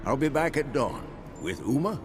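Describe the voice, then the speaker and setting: An actor playing a gruff, stubborn old monster hunter and mentor in a video game, crotchety and authoritative. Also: male voice, medium pitch, lively intonation, normal speed, background noise, natural voice. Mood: stubborn, defiant. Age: older. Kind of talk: cinematic game voice acting.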